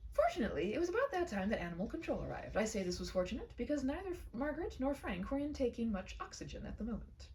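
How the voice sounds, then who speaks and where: Woman, expressive humorous story read-aloud, vocal fry, faintly noisy home recording. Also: fairly high pitch, recorded from a distance.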